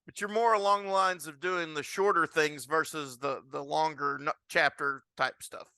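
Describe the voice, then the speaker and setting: A man hosting a casual interview, asking questions in a halting way and rephrasing them as he goes. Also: on the high side, steady tone, bright, forceful tone, smooth, dry recording. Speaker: podcast host, interviewer.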